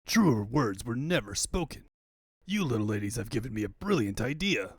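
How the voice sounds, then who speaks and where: A man's voice: medium pitch, sing-song, normal speed, slightly rough, no echo, clean studio sound, pitched below the performer's own voice. Man acting a pompous cartoon villain scientist. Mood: scheming, flustered. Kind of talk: comic book fandub.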